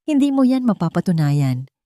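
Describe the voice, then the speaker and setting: A woman's voice: fairly low pitch, sing-song, moderate pace, dark tone, no room sound, clean studio sound. A woman with a Filipino accent voicing a haughty, indignant rich female villain in an audio drama, imperious and defiant. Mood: defensive, defiant.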